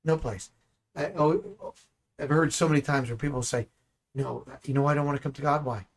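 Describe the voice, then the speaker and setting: A man in ministry teaching the Bible in conversation, animated and full of rhetorical questions. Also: medium pitch. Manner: animated, asks rhetorical questions, acts out dialogue.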